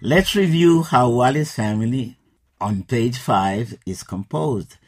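Man, sixties, English teacher, slow patient explaining, scripted lesson. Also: medium pitch, animated, faint music behind. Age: 60s.

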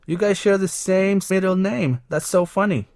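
A man's voice: high-pitched, normal speed, a little mellow, no echo, pitched above the performer's own voice. Teen schoolboy, read by a man, cheerful textbook dialogue, close and dry. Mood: friendly. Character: friendly teen schoolboy, seventeen.

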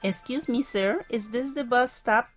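Friendly commuter, read by a woman, clear textbook delivery. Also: a little high, unhurried, faint music behind, close to an everyday voice. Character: helpful young working woman, twenty-seven.

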